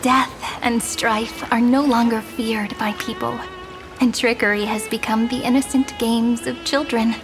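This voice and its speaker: Casting: gentle game prophetess, soft and reverent, somewhat high, with score underneath. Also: female voice, steady tone, a little slow, rough recording. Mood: solemn. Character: gentle wistful young woman prophesying a new world. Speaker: game character. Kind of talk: game cutscene voice acting. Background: orchestral music.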